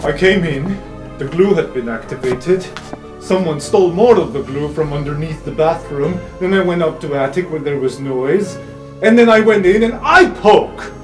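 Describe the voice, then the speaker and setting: A tabletop player voicing a grumpy, excitable, blunt and dramatic student. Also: mid-range voice, moderate pace, roomy sound, natural voice. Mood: outraged. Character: grumpy, excitable, easily spooked student called Rampart. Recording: music behind.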